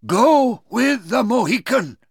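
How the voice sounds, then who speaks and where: A man voicing a solemn old chief in a storybook reading, speaking with slow, stern emphasis. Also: extremely high pitch, expressive, vocal fry, slightly rough, dry recording, clean studio sound, voice pushed up, exaggerated voice. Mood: commanding. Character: old chief Tamenund, solemn, questioning elder leader.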